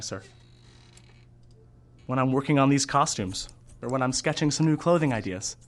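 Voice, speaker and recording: An actor playing a candid, wry theatre costume designer and Vietnam veteran in an audio drama, telling things in a measured, reflective way. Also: male voice, fairly high pitch. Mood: earnest, reflective. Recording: music behind the voice.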